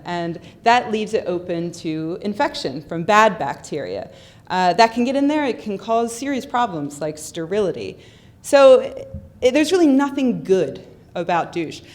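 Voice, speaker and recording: A woman's voice: medium pitch, very animated, measured pace, darkish tone. A young adult woman giving a humorous, sarcastic talk into a microphone on a lecture hall stage, with plenty of ums and repeated words. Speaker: public speaker. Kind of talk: humorous skeptical conference talk. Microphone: handheld or stand microphone.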